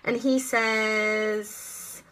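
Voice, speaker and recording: A woman's voice: a little high, level tone, drawn-out words, a bit bright, low-quality recording. A British woman chatting casually in a live Q&A at home, rambling and self-deprecating as she goes. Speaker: actress and voice actor answering viewer questions. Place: at home, indoors.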